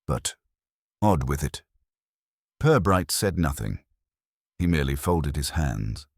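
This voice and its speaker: A man's voice: extremely low pitch, sing-song, slow, creaky, dry recording, clean studio sound. A man reading scripted narration of a detective story as an audiobook narrator.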